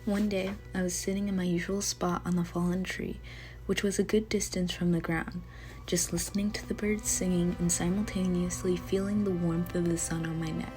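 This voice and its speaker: A woman getting over a cough, reading a horror story aloud at home in a casual, chatty way. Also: lowish voice.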